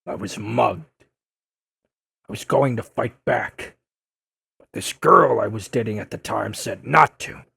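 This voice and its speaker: A man playing a bitter, blustering old newspaperman turned blogger in an audio drama, with a gravelly, raspy voice and an accusatory, declaiming manner. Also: mid-range voice, fairly bright tone, full character voice. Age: older. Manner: accusatory, indignant, theatrical declaiming.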